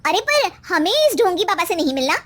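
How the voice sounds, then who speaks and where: A woman voicing a cheeky young daughter-in-law with a sassy manner in an animated story. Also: very high voice, fairly quick, no echo, voice pushed up, heavily acted. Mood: dismissive.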